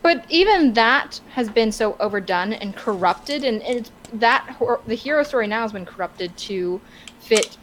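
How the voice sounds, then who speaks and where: A woman co-hosting a podcast in casual, opinionated discussion, halting and stammering as she searches for words. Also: on the high side, expressive, fairly bright tone, low-quality recording. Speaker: podcast co-host. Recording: clear background noise.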